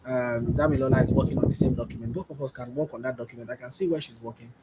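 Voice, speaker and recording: Guy on a webinar panel, rambly demo talk, loud noisy background. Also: mid-range voice, fairly even intonation, racing. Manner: loose, repeats himself while demonstrating. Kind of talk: webinar demonstration.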